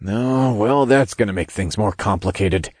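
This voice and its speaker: A man voicing a brash, foul-mouthed male hero who leads battles in a fantasy audiobook, gruff and commanding. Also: lowish voice, moderate pace, darkish tone, no room sound, studio quality, natural voice. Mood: wry.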